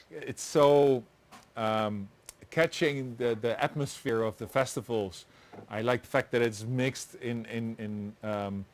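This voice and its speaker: A man hosting a live online interview, talking with many restarts and light chuckles. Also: mid-range voice. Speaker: host. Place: home set with doors open.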